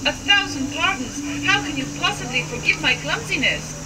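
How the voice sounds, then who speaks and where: Woman as courtly French gentleman, puppet theatre, animated pitch, formal deference, very noisy distant recording. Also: normal speed. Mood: humble, courteous. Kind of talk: theatrical puppet show dialogue. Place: outdoor puppet theatre, far camera.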